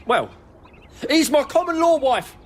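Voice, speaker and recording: An actor playing a lovelorn, stubborn shopkeeper in a radio comedy, pleading with absurd, pedantic earnestness. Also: male voice, very high-pitched, sing-song, measured pace, exaggerated voice. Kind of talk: British radio comedy acting.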